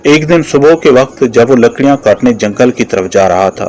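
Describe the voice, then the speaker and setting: A man's voice: mid-range voice, expressive, normal speed, a little mellow. A man narrating a scripted moral story for a children's cartoon.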